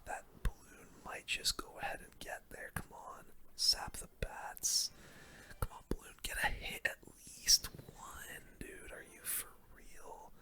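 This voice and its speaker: A person giving casual, slangy gaming commentary in a soft, breathy ASMR whisper, close to the microphone. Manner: casual slangy gamer talk, reacting to play. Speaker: gaming video host.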